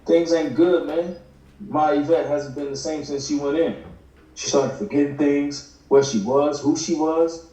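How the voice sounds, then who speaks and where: Frank, streetwise male pal acted by a man, dark tone, casual table read, distant echoey mic. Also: a little high, measured pace, lightly acted. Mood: frank, concerned. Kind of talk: naturalistic table read acting. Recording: echoey room, distant video call mic.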